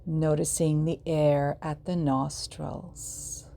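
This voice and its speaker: A woman leading a guided yoga nidra meditation in a soothing, measured way, leaving long pauses between short phrases. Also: low-pitched, little pitch movement, creaky, warm tone.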